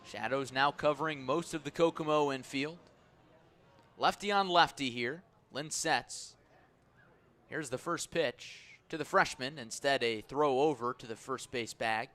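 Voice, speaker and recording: A man calling baseball play-by-play on the radio, measured between pitches and swelling into excited calls on plays. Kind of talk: live radio sports commentary.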